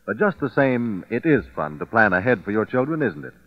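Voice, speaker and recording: A man delivering a scripted old radio advertising pitch, coaxing the listener directly. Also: big pitch swings, fairly quick. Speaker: commercial announcer. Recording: muffled, dry vintage radio recording.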